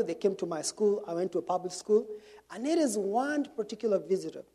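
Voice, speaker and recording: A middle-aged man from Kenya with a Kenyan accent, telling his testimony to a church congregation in an unhurried storytelling way, with many pauses and fillers. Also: high voice. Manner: warm storytelling with frequent fillers. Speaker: missionary speaker.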